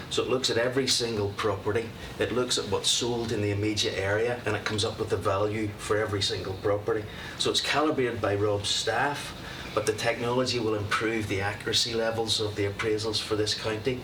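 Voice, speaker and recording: A man from Northern Ireland with a Northern Irish accent, presenting his software to a county committee in a relaxed, conversational way. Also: lowish voice. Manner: relaxed, conversational, with filler words. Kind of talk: presenting at a public meeting. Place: county committee meeting room. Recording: roomy hall, distant mic, steady noise.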